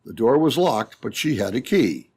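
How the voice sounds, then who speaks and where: A man with a husky voice narrating an old-time radio story from a script. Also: mid-range voice, very animated, normal speed, a little mellow, slight background noise.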